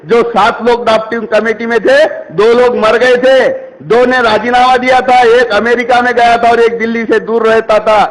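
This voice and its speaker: A woman arguing heatedly on a phone call, scolding, confrontational and quick to cut in. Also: a little high, little pitch movement.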